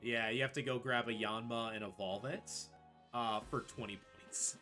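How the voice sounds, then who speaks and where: Bright-voiced man commentating his gaming run. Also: mid-range voice, fairly even intonation, moderate pace. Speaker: speedrunner playing live. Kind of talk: casual live gaming commentary. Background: video game music.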